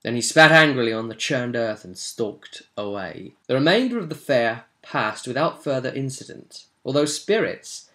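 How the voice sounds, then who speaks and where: A man narrating the story setup for a tabletop roleplaying game as game master, in a measured, dramatic way. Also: mid-range voice, lively intonation, bright, forceful tone.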